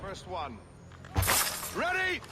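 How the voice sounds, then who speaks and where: Stern officer, high raised voice, big swings, loud battlefield noise. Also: male voice, huge pitch swings, extremely slow, full character voice. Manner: barked, declamatory commands. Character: stern, shouting male military officer commanding soldiers. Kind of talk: video game voice acting.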